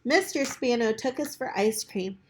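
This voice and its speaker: A woman reading a children's book aloud in an expressive way, as a storyteller talking to children. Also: on the high side, normal speed, slight background noise. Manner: animated character voices for dialogue, chatty asides to children.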